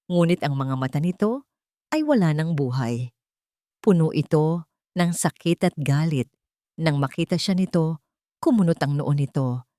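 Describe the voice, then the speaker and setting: A woman's voice: low voice, a little mellow, no echo. A woman storyteller narrates a dramatic love story. The recording is close and dry, with no room sound. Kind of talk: scripted dramatic romance narration.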